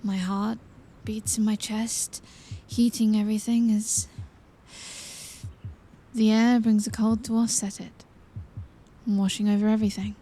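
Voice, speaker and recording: Woman acting earnest young princess, slow and wondering. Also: mid-range voice, flat delivery, dark tone, lightly acted, low-quality recording. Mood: wondering, focused. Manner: hesitant, wondering, deliberate phrasing. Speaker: voice actor. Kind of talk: audio drama acting.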